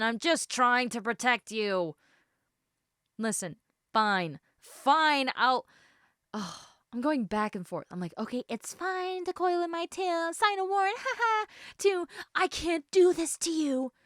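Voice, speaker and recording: Woman acting as a gentle protective lamia, close and dry. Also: a little high, lively intonation, a little slow, no echo. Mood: flustered, exasperated. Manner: soft, breathy sighs, hesitant, caring. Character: gentle, protective, indecisive female lamia, half snake. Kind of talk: intimate audio roleplay addressed to listener.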